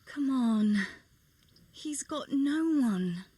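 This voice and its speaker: A woman playing a caring, practical young village woman in a medieval video game, speaking gently and matter-of-factly with light teasing. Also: high-pitched, lively intonation, very slow, slightly rough, full character voice. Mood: warm, coaxing. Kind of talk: video game cutscene acting, natural dialogue.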